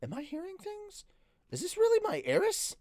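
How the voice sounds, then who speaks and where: Storybook hero: self-doubting young male mage, voiced by a man, hesitant, dramatic, raised lively pitch, dry acoustic. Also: normal speed, no echo. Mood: stunned disbelief. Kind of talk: first-person novel reading.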